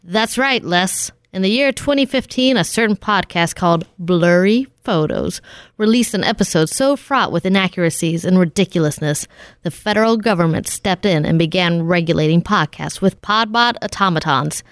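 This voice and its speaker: A serious female scientist from an old-style radio drama, acted by a woman, with a slightly low, crisp voice. She briefs her agents in a formal, earnest way, recorded very dry. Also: no room sound, natural voice. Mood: grave, urgent. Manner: formal, grave, deliberate exposition. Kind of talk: 1940s-style radio play acting.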